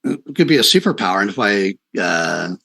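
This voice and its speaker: A man's voice: fairly low pitch, sing-song, normal speed, creaky, bright, forceful tone, slightly rough. A man hosting a casual interview, affirming his guest and explaining his ideas as he thinks aloud. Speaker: podcast host, interviewer.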